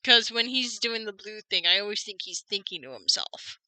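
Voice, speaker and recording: A woman's voice: medium pitch, normal speed, sharp tone, a little hiss or hum. A woman streamer chatting casually with friends while voice-acting a courtroom game.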